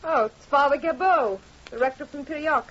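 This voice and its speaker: A woman's voice: high voice, normal speed, a bit bright. An actress playing a worried, kindly middle-aged fisherman's wife in an earnest old-time radio drama style. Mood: uneasy. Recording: dull, noisy vintage radio recording.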